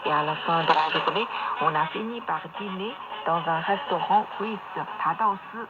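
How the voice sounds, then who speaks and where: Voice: mid-range voice, moderate pace. Presenter on a very noisy shortwave broadcast. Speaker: radio presenter. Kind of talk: scripted travel programme introduction. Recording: noisy shortwave radio, narrow phone-like band.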